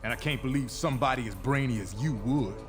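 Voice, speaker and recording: A man's voice: medium pitch, quick pace, natural voice. A man playing a tough, stubborn, streetwise superhero with a blunt way of talking in a game. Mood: scornful. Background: music.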